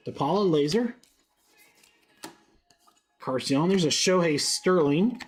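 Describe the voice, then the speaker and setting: A man's voice: fairly high pitch, animated, slow pace, soft background music. A congested young man with a head cold, chatting upbeat on a live stream while he rattles off cards. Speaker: card break streamer. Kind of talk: live-stream card break commentary.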